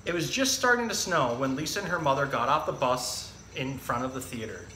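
A man in his thirties with a bright, forward voice at an average pitch presents to children in a casual, plain-spoken way. He explains and reads as a zookeeper host would. The room is echoey, with faint noise behind him. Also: expressive, a little fast. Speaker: zookeeper presenting an animal storytime. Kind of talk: casual educational talk and picture-book reading for children. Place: indoor room with hard walls and tiled floor.

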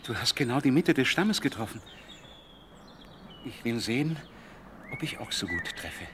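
A man plays an eager young biblical prince in a radio play. His friendly voice rises and falls freely, with clear ambient noise around it. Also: medium pitch, sing-song, moderate pace, lightly acted. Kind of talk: German radio play acting.

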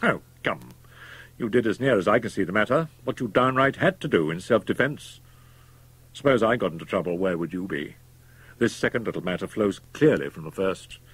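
A man voicing a worldly, domineering young doctor who is a murderer, speaking in a calm, emphatic, persuasive way. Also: on the low side, fairly quick, breathy edge, no room sound, pitched above the performer's own voice. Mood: smooth persuasion.

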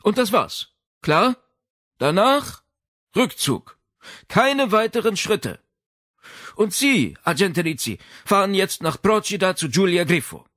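Man acting out a brusque, commanding police inspector, pitched up. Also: sing-song, takes it slowly, long pauses, fairly bright tone, no echo, clean studio sound, exaggerated voice. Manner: curt, bossy, clipped orders.

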